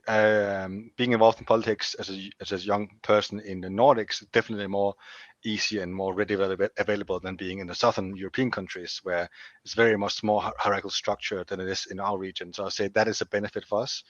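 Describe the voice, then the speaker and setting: Young Danish panelist, twenties, lower voice, animated and frank, restarts often; muffled video-call sound. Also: male voice, no echo. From Denmark. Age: 20s. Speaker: youth organization chair, panelist. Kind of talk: online panel discussion. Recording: dull dry video call audio.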